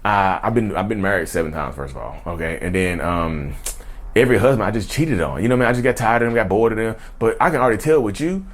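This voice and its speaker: A man's voice: on the low side, lively intonation, quick pace, pitched below the performer's own voice, close to an everyday voice. A man voicing a brazen woman on a first date who admits to serial cheating, in a casual drawl full of fillers.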